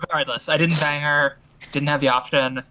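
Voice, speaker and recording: A man's voice: on the high side, fairly even intonation, normal speed, pushed and bright, rough recording. A man from the northern United States bantering casually as a tabletop game player.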